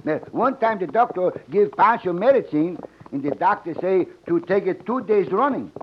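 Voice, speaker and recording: A man's voice: high voice, animated, normal speed. A man playing a simple, good-natured Mexican sidekick in an old radio western, with a thick Mexican accent and clownish broken English. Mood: puzzled, grumbling. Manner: broken English, calls himself by name, clownish. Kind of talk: old-time radio comedy acting. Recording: dull, noisy old radio recording.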